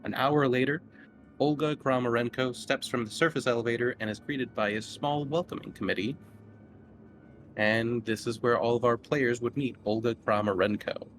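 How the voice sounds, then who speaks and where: A man running a tabletop horror game as game master, setting the scene in measured narration. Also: medium pitch, steady tone, a bit bright, slight background noise.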